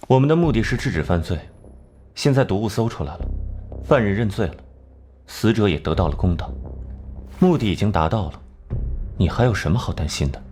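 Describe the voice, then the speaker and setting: A male voice actor as a brilliant, unrepentant cartoon detective with a lowish voice. He lays out deductions in a composed, articulate way, with lively intonation, over faint background music. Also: a little fast, long pauses, natural voice. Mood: unrepentant, matter-of-fact. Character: cool, sharp-witted male detective genius, unrepentant. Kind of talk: dubbed animated crime drama.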